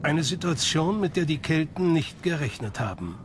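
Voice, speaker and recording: A man's voice: on the high side, big pitch swings, normal speed, background noise. A man reading scripted documentary narration. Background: music.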